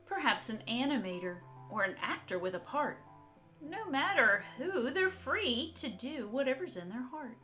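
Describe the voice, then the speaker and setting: A woman art teacher reading aloud and talking to young children in an encouraging, expressive, direct way. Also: high-pitched, normal speed, music in the background. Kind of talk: children's read-aloud and talk to young kids.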